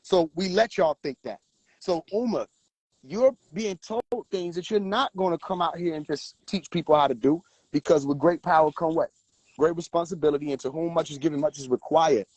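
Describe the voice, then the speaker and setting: A man hosting a livestream, talking forcefully and preaching directly at his viewers. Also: fairly high pitch, normal speed, no echo, poor audio. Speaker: livestream host. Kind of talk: casual livestream talk.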